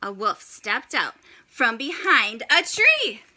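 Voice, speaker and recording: Woman storyteller, very bright voice, lively swooping pitch, expressive children's read-aloud, dramatic pauses. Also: slight background noise.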